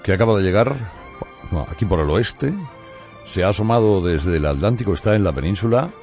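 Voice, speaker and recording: A man's voice: low voice, expressive, fairly quick, a little mellow, faint music behind. A middle-aged man hosting a morning news show, giving opinionated commentary and addressing listeners directly. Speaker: radio morning show host.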